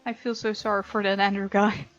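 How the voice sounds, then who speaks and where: A woman's voice: medium pitch, level tone, fairly quick. A woman hosting a gaming video, reading game text aloud and reacting to the characters in a casual, playful way. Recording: slightly dull sound, music behind. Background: game music.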